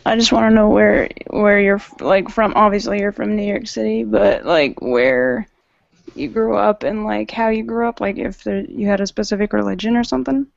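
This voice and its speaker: Guest: woman from New Hampshire, gravelly voice, curious chatty questions, dry lo-fi audio. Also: mid-range voice, normal speed, no echo. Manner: curious, lots of "like". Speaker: caller guest. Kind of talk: casual chat.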